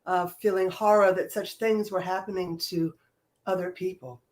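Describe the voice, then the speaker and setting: A woman's voice: on the low side, fairly even intonation, normal speed, darkish tone. A woman in her sixties answering interview questions reflectively, hesitating as she searches for words. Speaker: stage play director, interview guest.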